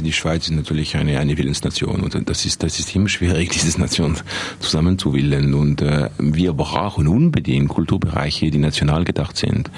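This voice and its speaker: Very deep-voiced man with a French accent. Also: a little mellow, slightly rough. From Geneva. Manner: halting, many repeated words and restarts. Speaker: interview guest, film official. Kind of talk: hesitant interview answers in a second language.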